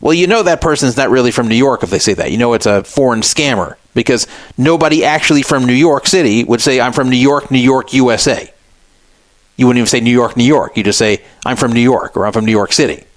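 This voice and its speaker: Guy hosting a radio show, mid pitch, sarcastic and scoffing, dry studio sound. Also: fast talker, dry recording. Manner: sarcastic, scoffing at a statement he reads aloud. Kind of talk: opinionated talk radio commentary.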